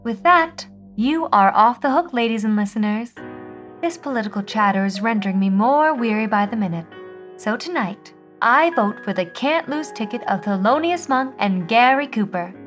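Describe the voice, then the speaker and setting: An actress playing a sardonic, world-weary 1950s switchboard operator, delivering a deliberate, theatrical monologue. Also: fairly high pitch, animated, fairly bright tone, faint music behind. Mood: weary, wistful. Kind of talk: scripted dramatic monologue.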